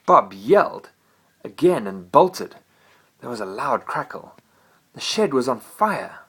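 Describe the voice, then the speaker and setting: A father reading a bedtime story aloud to his child, gentle and animated. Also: medium pitch, slow pace, husky.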